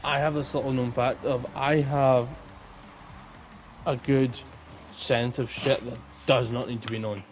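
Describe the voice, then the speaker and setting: A young adult man co-hosts a podcast in a casual, conversational style. His voice sits at an average pitch for a man, with faint music behind it. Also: fairly even intonation, a little slow, long pauses. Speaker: podcast co-host. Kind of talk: casual chat.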